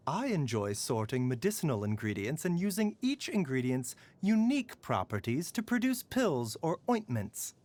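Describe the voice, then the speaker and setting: Soft-spoken gentle physician. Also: male voice, a little high. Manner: soft-spoken, measured, sighing. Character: gentle, caring male physician, middle-aged. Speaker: doctor. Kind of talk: game character voice lines. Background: faint music.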